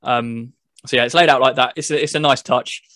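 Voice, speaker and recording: A man talks through a video game review as a podcast host in a mid-pitched voice. He explains casually in loose, everyday phrasing, and the sound is dry, with very little room on it. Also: fairly even intonation, brisk, pushed and bright, dry recording. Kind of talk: casual gaming chat.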